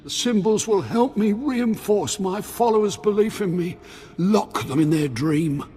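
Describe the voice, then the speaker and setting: An actor playing an anxious former slave posing as a grandiose Sith lord in a video game, husky, theatrical and faltering. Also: male voice, sits high, expressive, a little slow, mellow, exaggerated voice. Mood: pleading, cautioning. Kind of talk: video game cutscene acting. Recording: long hall reverb.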